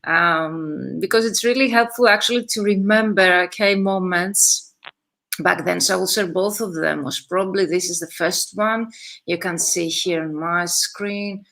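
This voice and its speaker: Woman host for a live video-call Q&A, bright tone, chatty and encouraging. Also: on the low side, a little slow, vocal fry. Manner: chatty, warm praise, frequent laughs. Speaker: business coach hosting a live Q&A. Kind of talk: casual live-stream interview.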